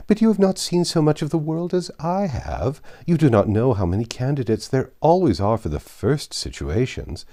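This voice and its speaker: A man's voice: medium pitch, sing-song, normal speed, few pauses, warm tone, voice pushed up. Man putting on a gushing, swooping, self-important married lady. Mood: pushy, fussing. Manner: pompous, gushing, insistent. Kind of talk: dramatised classic novel reading.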